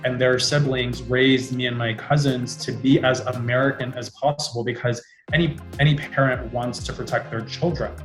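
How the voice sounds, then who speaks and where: A man's voice: mid-range voice, steady tone, normal speed, faint music behind. A man in a panel discussion, speaking earnestly about his family. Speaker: panel participant. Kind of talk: conversational discussion. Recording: roomy echo.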